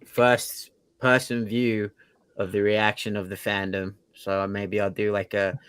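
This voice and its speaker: A man with a fairly low voice speaks as a podcast co-host. He chats casually, in an everyday conversational style. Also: level tone, unhurried, fairly bright tone, smooth. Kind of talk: casual chat.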